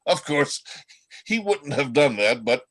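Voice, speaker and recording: A man playing a chatty, world-weary reindeer in an amateur radio play, telling gossip in a folksy, halting way. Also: medium pitch, fairly bright tone. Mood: indignant, gossipy.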